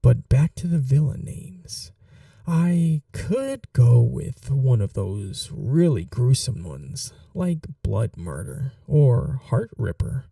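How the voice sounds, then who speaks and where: A man's voice: mid-range voice, gravelly, warm tone, no echo, studio quality, recorded from a distance, heavily acted. A man voicing a playful, smitten yandere supervillain in an ASMR roleplay, chatty, vain and flirtatious, musing aloud with casual menace. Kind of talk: ASMR roleplay monologue to the listener.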